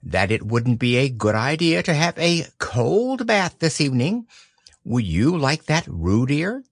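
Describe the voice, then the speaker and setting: A motherly storybook kangaroo , as a male narrator plays her, with a raised, lilting voice. She fusses briskly and soothingly, firm underneath, never hurried. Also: fairly high pitch, very animated, vocal fry, a bit bright, no echo, clean studio sound, pitched above the performer's own voice, exaggerated voice. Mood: thoughtful. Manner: cheerful, fussing, mildly severe. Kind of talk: storybook character reading.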